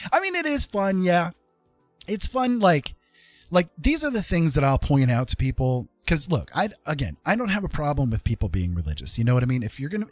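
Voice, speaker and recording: A man's voice: mid-range voice, a little mellow, no echo. A man hosting a casual livestream, talking in an earnest, emphatic monologue and repeating phrases for stress. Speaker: livestream host.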